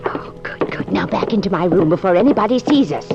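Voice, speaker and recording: A prim, meddling old woman with a lively, bouncing voice, old lo-fi radio sound. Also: on the low side, brisk, gravelly, loud music behind the voice. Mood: scheming. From Muncie. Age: older. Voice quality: chirpy, fussy, quaintly prim. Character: spry, meddling old woman hooked on detective stories. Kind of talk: 1940s radio acting. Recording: dull lo-fi 1940s radio broadcast.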